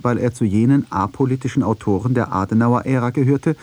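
A man's voice: mid-range voice, a little fast, mellow, dry recording. A man reading scripted narration for a radio documentary feature.

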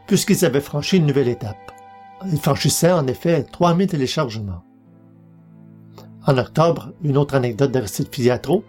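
A man's voice: on the high side, darkish tone. A man, hosting a podcast and reading scripted narration. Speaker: podcast host and publisher.

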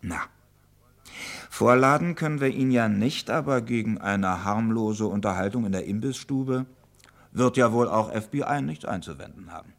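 Actor as a gravelly, shrewd police inspector. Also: male voice, on the low side, normal speed, natural voice. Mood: confident, musing. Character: shrewd, self-assured male police inspector. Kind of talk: vintage German radio crime drama acting.

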